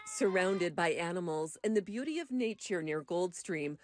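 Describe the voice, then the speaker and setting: A woman reporter reading a scripted news voice-over in a clear, measured way. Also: fairly low pitch, expressive, heavy background noise.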